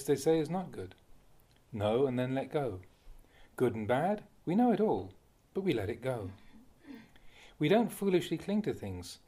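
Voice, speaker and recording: A man reading a Buddhist Dhamma talk aloud as a monk, deliberately, in short phrases with pauses. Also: mid-range voice, dark tone, breathy edge. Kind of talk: read-aloud Dhamma talk, measured teaching.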